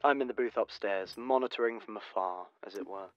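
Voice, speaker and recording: A man's voice: lowish voice, fairly quick, no echo, lightly acted. Studio director played by a man, breezy and reassuring, dry and slightly muffled recording. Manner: polite, breezy, placating. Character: smooth-talking, reassuring male studio director. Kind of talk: audio drama acting. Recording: dull booth intercom sound.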